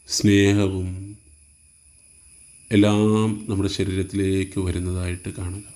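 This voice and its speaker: Meditation guide: Malayali man, lowish pitch, very soft dark tone, slow soothing instructions, spaced phrases.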